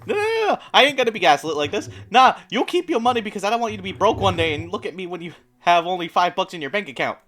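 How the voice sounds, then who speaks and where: A man hosting a live tabletop game stream, cursing loudly and excitedly as he chats with players. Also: high voice, quick pace, few pauses. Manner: loud, swearing, excitable. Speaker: game master and stream host. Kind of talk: casual banter on a live stream.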